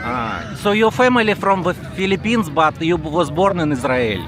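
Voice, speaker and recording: A man with a Russian accent, chatting in halting English with a stranger as he films on a handheld camera at an outdoor festival. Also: sits high, creaky. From Far East Russia. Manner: halting non-native English, curious questions, friendly laughs. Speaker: travel vlogger. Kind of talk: casual street interview. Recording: outdoor crowd and music noise. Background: loud crowd and music.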